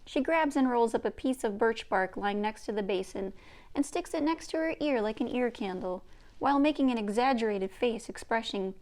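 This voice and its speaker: A woman's voice: fairly high pitch, lively intonation, normal speed, darkish tone. A woman reading a children's book aloud as its author and narrator. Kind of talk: scripted story narration, read-aloud.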